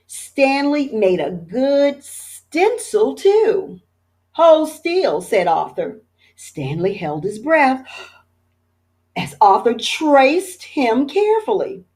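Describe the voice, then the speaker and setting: A woman, a teacher, reading a children's picture book aloud in an expressive storytelling manner. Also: a little high, slow pace.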